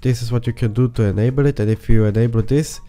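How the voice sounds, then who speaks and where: A man's voice: mid-range voice, fairly even intonation, fast, mellow, soft background music. A young man who is a bit sick, walking through a game plugin in a rambling tutorial style with many restarts. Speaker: plugin reviewer. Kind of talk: casual tutorial walkthrough.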